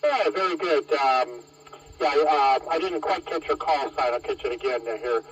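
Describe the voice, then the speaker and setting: A man chatting casually and a little haltingly over two-way radio as an amateur operator. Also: mid-range voice, breathy edge, muffled recording. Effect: heard over two-way radio. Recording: distant, noisy handheld radio transmission.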